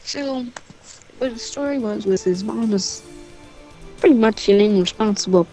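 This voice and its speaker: A woman's voice: medium pitch, a little slow, dark tone, faint music behind, low-quality recording. A woman telling a story casually and off the cuff, rambling, with offhand asides. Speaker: storyteller on a gaming channel.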